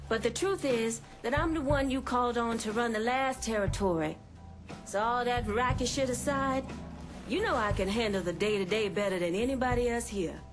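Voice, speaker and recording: A woman's voice: on the high side, moderate pace, close to an everyday voice. A woman playing a confident, shrewd crime underboss in a video game cutscene, assertive and frank. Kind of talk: dramatic game cutscene acting. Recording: music behind the voice.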